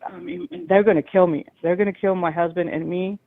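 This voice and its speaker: A woman caller with a loud voice, telling a long agitated story over the phone to a call-in show. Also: lowish voice, very animated, a little fast, vocal fry, no echo. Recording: tinny phone line.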